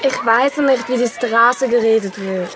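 An actress playing a shy, touchy Dutch schoolgirl who speaks halting, broken German with a Dutch accent in an audio drama. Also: a little high, a crowd in the background, noisy background, close to an everyday voice. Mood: unsure.

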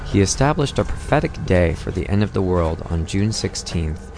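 A man reading scripted documentary narration in a measured, formal storytelling manner. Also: low voice, animated. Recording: music behind the voice. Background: loud music.

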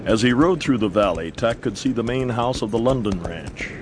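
A man's voice: mid-range voice, animated, normal speed, noisy background. A man narrating a dramatized Western story in scripted audiobook style.

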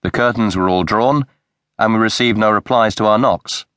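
A man narrating a mystery audiobook in a measured, even way, reading from a script into a close studio mic. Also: fairly low pitch, clear, resonant tone, dry recording.

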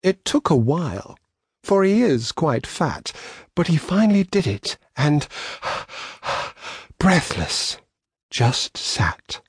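A man reading a rhyming children's story as an audiobook narrator, in a measured storybook delivery. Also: a little high, big pitch swings, breathy edge, no room sound, clean studio sound.

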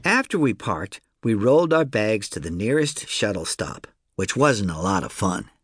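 A man narrating a children's story in the first person, in an expressive, conversational storytelling manner. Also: fairly low pitch, moderate pace, slightly rough. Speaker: audiobook narrator.

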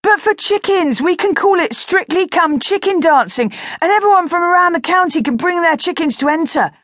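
A woman voicing an excitable young farm boy, eager and boastful, in a children's storybook. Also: extremely high pitch, moderate pace, dry recording, studio quality, voice pushed up. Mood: excited.

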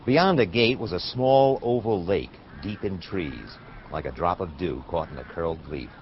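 Actor as a deep-voiced, wry American private eye. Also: male voice, normal speed, muffled recording, slight background noise, lightly acted. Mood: wry, reflective. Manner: sardonic, wisecracking. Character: wry, cynical American male private detective. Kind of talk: hardboiled radio drama, first-person narration and dialogue.

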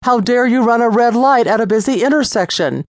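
A reader voicing a stern, fed-up male police officer who shouts commands and lectures a speeder in a storybook reading. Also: mid-range voice, huge pitch swings, normal speed, no echo, clean studio sound. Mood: commanding. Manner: barking commands, then lecturing.

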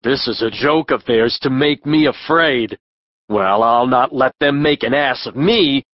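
A man's voice: medium pitch, unhurried, no room sound, dull audio, natural voice. A man playing a foolish, self-important workman in a theatrical Shakespeare audiobook, earnest and pompous. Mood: cocky, bemused.

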